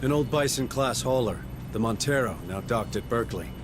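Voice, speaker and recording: A man's voice: medium pitch, brisk, background noise, natural voice. A man playing a weary, stubborn space marine sergeant in a video game cutscene, speaking tersely and dryly. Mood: determined. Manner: terse, dry.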